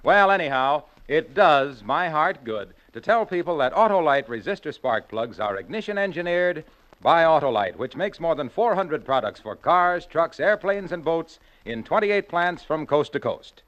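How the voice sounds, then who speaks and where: Old-time radio announcer guy, bouncy pitch, muffled broadcast sound with music behind. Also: big pitch swings, normal speed. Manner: polished, buoyant announcer delivery. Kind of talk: 1940s radio announcing and sponsor banter.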